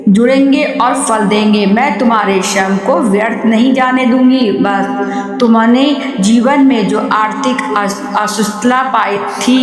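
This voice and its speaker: A woman voicing a benevolent, reassuring goddess, giving a solemn, measured devotional address. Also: fairly high pitch. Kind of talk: devotional message reading. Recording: heavy echo, long reverb, music behind.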